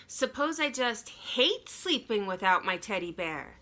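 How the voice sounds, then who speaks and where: Woman, children's read-aloud: expressive, bright, lively pitch, speaking to young listeners. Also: measured pace. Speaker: teacher reading a picture book aloud.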